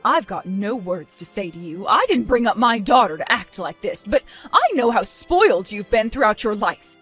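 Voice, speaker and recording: A woman's voice: medium pitch, sing-song, normal speed, fairly bright tone, husky, faint music behind. A woman voicing a stern, disappointed mother nearing retirement age in a dramatized story, scolding with weary firmness. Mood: stern, disgusted.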